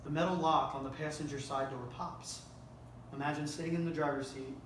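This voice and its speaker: A man's voice: on the high side, steady tone, fairly quick. A man talking casually as he directs actors at a table read and reads from a script. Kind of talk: casual directing talk, reading script aloud. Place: indoor room at a group table read. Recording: echoey noisy room, far from mic.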